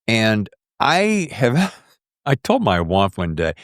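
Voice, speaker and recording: A man hosts a podcast in loose, casual conversation, his pitch swinging widely as he rambles along. He is from the US South and leans on folksy slang. The sound is close and dry, with very little room to it. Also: wildly swooping pitch, slow, no room sound. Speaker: podcast host. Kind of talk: casual interview chat.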